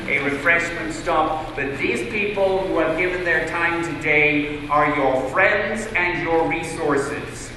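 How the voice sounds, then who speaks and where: A man runs a panel, brisk and a bit hesitant, his voice pitched a touch high. He is heard from a distance. A long hall reverb and steady noise sit around him. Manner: brisk, hurried, with filler hesitations. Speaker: panel moderator. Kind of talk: moderating a panel. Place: large echoey hall. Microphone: distant microphone. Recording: echoey hall from far off, noisy.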